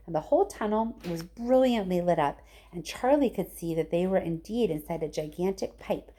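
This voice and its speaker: A woman reading a children's book aloud in an expressive storytelling style. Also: mid-range voice. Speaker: storybook reader, teacher.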